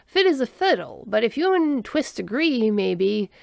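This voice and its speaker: Woman reading as an easygoing guy, lively pitch. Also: measured pace, vocal fry, dry recording, clean studio sound, heavily acted. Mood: cheerfully dismissive. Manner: casual, slangy, offhand. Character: easygoing human man, breezy friendly coworker.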